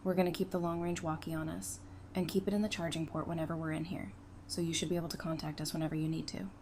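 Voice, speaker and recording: Lowish-voiced practical female survivor played by an actress. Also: flat delivery, fast talker, a little mellow, a little hiss or hum. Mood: matter-of-fact. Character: practical, easygoing adult woman, a survivor and counsellor. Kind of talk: audio drama acting.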